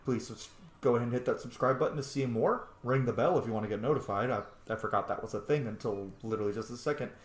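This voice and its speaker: A man's voice: mid-range voice, fast. Echoey male gamer commentating casually. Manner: informal, chatty. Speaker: gaming video host. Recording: roomy, echoey voice track.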